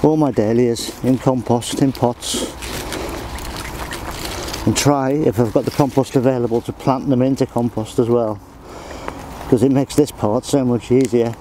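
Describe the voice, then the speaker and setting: Practical gardener talking while working. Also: male voice, medium pitch, fairly even intonation, measured pace, background noise. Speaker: allotment gardener. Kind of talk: casual how-to demonstration. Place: outdoor allotment garden.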